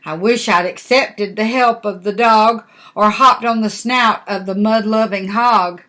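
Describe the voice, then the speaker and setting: Small stubborn storybook frog, male, plaintive, slow delivery, performed by an older woman. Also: mid-range voice, steady tone, a bit bright, close to an everyday voice. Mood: regretful.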